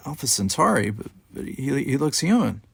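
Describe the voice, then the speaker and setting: Man playing a nervous, higher-voiced young cadet. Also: very animated, normal speed, vocal fry, husky, no echo, full character voice. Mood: surprised, hesitant. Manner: stammering, flustered. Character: David Forrester, nervous, awed young male cadet.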